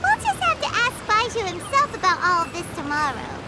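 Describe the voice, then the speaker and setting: A woman's voice: extremely high pitch, huge pitch swings, fairly quick, bright, forceful tone, full character voice. A woman voicing a tiny, bubbly companion creature who asks lots of questions in game dialogue. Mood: upbeat. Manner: bubbly, inquisitive. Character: tiny chirpy female companion creature, curious.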